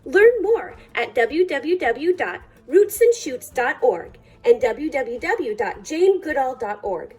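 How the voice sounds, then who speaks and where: High-pitched, lively woman reading a children's story. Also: slow pace, few pauses, slightly rough. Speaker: librarian reading a picture book aloud. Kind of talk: children's storytime read-aloud.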